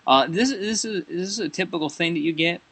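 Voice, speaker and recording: A man's voice: sits high, a little fast, a bit bright, husky, no echo. A man improvising a prank phone call as a comedy host, rambling and stammering and often repeating himself.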